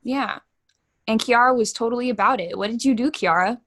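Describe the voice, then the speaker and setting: Podcast co-host: woman in her 20s, from Hawaii, upbeat and blunt, voice a little on the high side. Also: a little fast, fairly bright tone. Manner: blunt, cheerful, swears freely. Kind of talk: casual chat.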